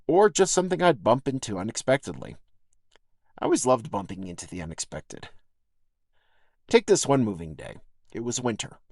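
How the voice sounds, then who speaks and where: A man with a slightly low-pitched voice narrates a first-person essay for a podcast. He tells it in a reflective, folksy manner. The sound is dry, with very little room in it. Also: dry recording. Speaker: podcast host and essayist reading own essay.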